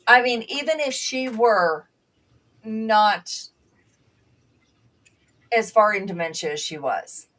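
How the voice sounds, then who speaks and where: A woman whose first language was Bulgarian, a panel guest and former nursing assistant, speaking earnestly and reflectively with halting restarts. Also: medium pitch, lively intonation, fairly bright tone, recorded from a distance. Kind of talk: conversational panel talk.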